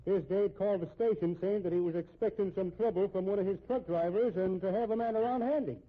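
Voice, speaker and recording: An actor playing a chatty, assured police officer in a 1950s radio drama. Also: male voice, sits high, quick pace. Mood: matter-of-fact recounting. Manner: folksy, talkative, assured. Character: chatty, unworried Irish-American male police officer. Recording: muffled, tinny old radio recording.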